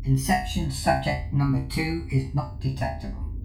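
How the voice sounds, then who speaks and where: An emotionless machine intelligence speaks in a very deep, creaky female voice. Each clipped, literal report comes slowly, as in a sci-fi audio drama, in an echoing space with loud music behind. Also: full character voice. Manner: flat, clipped, literal robotic delivery. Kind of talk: science fiction audio drama acting.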